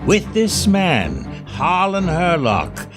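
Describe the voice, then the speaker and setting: A spiteful sickly husband in an audio drama, drawn out slow, loud music behind. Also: male voice, high voice, huge pitch swings, exaggerated voice. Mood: bitter, accusing. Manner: spiteful, accusing, commanding. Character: bitter, ill-tempered, domineering sickly husband.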